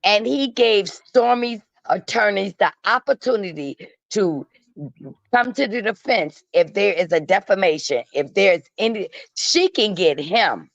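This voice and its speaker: A woman's voice: medium pitch, animated, a little slow, creaky, no echo. A woman giving casual gossip commentary as a guest, emphatic and matter-of-fact, with colloquial phrasing. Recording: dull, lo-fi call audio.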